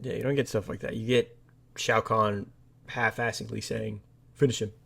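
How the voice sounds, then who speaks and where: A man's voice: fairly low pitch, little pitch movement, moderate pace, gravelly, a bit bright, breathy edge. A man co-hosting a podcast, chatting casually and giving opinions on films in a blunt, wry way. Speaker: podcast co-host. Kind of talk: casual, opinionated film chat.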